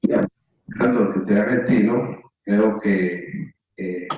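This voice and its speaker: A man's voice: on the low side, sing-song, takes it slowly, gravelly, a little hiss or hum. A man asking a lecturer long questions, hesitant and rambling with many fillers. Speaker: audience member asking questions. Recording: distant echoey lo-fi call audio.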